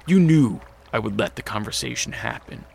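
An actor playing Dracula as a brooding, restrained vampire count in a radio drama, speaking in measured, formal phrases. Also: male voice, mid-range voice, animated, gravelly, a little mellow, slight background noise. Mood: cold, accusing. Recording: music behind the voice.

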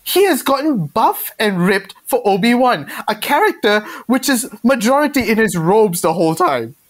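A chatty woman in casual banter keeps pressing questions and fills her speech with "like". Her pitch moves a lot. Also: sing-song, normal speed. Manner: questioning, chatty, says "like" often.

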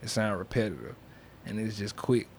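Young man chatting, low-pitched and slangy. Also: expressive, normal speed, slight background noise. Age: young adult. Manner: slangy, loose, peppered with check-in phrases. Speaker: interview guest, indie music artist. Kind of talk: casual interview chat.

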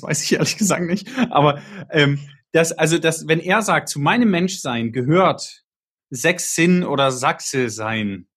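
A man with a slightly high, husky voice hosts a relaxed podcast conversation. He talks in a thinking-aloud way, restarting sentences and tacking on little questions to check in with his co-hosts. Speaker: podcast host.

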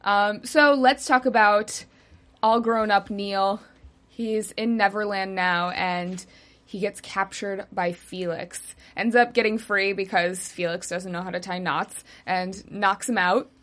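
A young woman hosting a casual TV recap show, walking through the plot with frequent pauses and easy laughter. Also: mid-range voice, fairly even intonation, fairly bright tone. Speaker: host.

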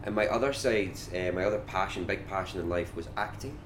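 A man's voice: deep voice, a little fast. A man with a Scottish accent, chatting casually and animatedly as a whisky brand ambassador in an interview. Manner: chatty and animated, many "like" fillers. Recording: noisy background.

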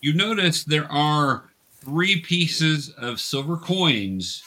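A man with a bright, forward voice works as game master for a tabletop role-playing game. He narrates what happens to the players and patiently explains how the rules work. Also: a little high, animated, takes it slowly.

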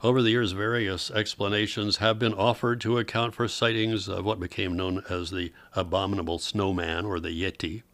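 A man with a fairly low voice gives a scripted host's introduction. The sound is dry and close, as a narrator presenting classic radio plays. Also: steady tone, moderate pace, hardly any pauses, fairly bright tone, no room sound. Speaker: host of an old-time radio show.

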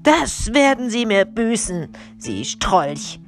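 Casting: woman as irate storybook police captain, a man of pompous bluster, pitched high and loud. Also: sing-song, slow, faint music behind, full character voice. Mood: seething. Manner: indignant, shouting, self-important. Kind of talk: children's audiobook character reading.

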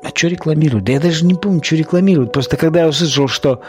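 A man hosting a podcast, talking in an informal, opinionated monologue with rhetorical questions and halting, colloquial phrasing that repeats words. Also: fairly high pitch, a little mellow, soft background music. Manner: argumentative, poses rhetorical questions. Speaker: podcast host.

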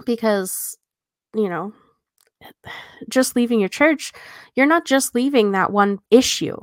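A woman's voice: on the high side, takes it slowly, dry recording, studio quality. A woman co-hosting a podcast, talking casually and earnestly as she explains her view and poses questions. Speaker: podcast co-host.

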